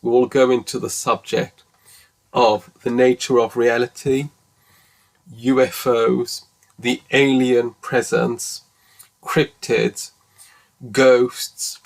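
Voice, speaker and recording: A man in his forties speaks slowly, with a mid-pitched voice, and he is earnest. He hosts his own podcast as a solo monologue. He pauses often with ums and restarts his sentences. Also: creaky, poor audio. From English-speaking, living in France. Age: 40s. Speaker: podcast host.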